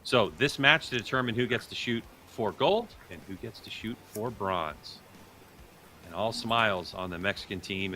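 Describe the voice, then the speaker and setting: A man's voice: lowish voice, bright, forceful tone. A man hosting a live sports watch party, commentating on the action as it happens. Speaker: host and commentator. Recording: noticeable background noise.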